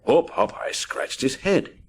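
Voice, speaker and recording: A man's voice: measured pace, a little hiss or hum. A man narrating a children's adventure story dramatically, punching out the action words. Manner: punchy, theatrical sound-effect words.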